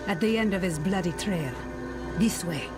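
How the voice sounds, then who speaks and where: A woman playing a stern, cryptic swamp witch in a fantasy game, speaking in a measured, solemn manner. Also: lowish voice, over music, lightly acted. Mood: cool, taunting. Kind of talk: cinematic fantasy game acting.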